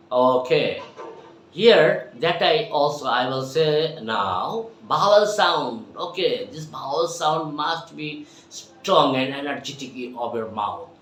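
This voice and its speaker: A man from Bangladesh with a Bangladeshi English accent, teaching English by repeating tongue twisters slowly and emphatically. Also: fairly high pitch, a bit bright. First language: Bengali. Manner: repeats phrases slowly and emphatically, addresses viewers as my dear. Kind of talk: tongue twister drill lesson. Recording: echoey room, faint background noise.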